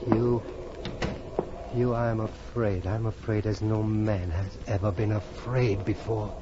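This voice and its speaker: A male actor as a tormented gentleman of gothic radio drama. He speaks very slowly, brooding and theatrical, full of dread. The 1940s recording is muffled, with clear noise around the voice. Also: fairly low pitch, steady tone, close to an everyday voice. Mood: fearful. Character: tormented, morbid aristocrat, grieving brother haunted by dread.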